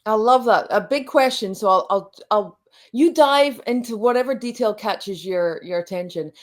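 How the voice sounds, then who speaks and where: Guest coach, woman late forties, thoughtful and halting, mid-pitched voice. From Scotland. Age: late 40s. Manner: reflective, halting, many restarts. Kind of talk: conversational interview.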